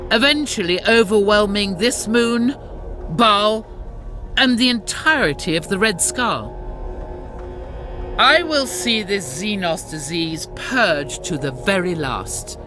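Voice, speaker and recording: A woman's voice: mid-range voice, lively intonation, takes it slowly. A woman playing a zealous, stern warrior sister in a game, speaking in solemn, pious, formal lines with music behind her. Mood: grave, zealous. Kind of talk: dramatic scripted game narration and dialogue.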